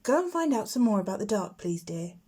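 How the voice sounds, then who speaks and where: A woman voicing a brisk, firm mother barn owl in a children's storybook. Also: medium pitch, big pitch swings, warm tone, breathy edge.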